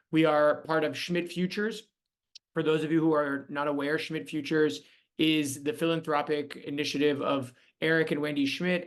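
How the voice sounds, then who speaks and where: Gravelly man hosting a video-call info session. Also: on the high side. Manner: friendly, many ums and uhs. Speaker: program manager hosting an online info session. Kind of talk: webinar presentation.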